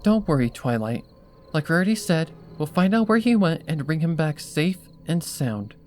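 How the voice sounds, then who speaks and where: A man voicing a gentle, sympathetic young female pony in a reassuring manner in a storybook reading. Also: on the high side, big pitch swings, normal speed, slight background noise, voice pushed up.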